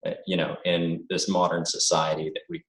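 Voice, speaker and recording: Low-voiced man on a call, pausing and searching for words, heard in a roomy space. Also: level tone. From born in the United States, raised abroad. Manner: hesitant, thoughtful, many "you know" fillers. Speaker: interview guest. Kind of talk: reflective conversational interview.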